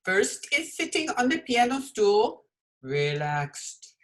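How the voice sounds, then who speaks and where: A woman playing a foreign piano teacher and fortune teller in old-time radio comedy, deliberate and blunt, with non-native phrasing. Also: on the high side, sing-song, poor audio. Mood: instructive. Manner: foreign-English syntax, deliberate speech.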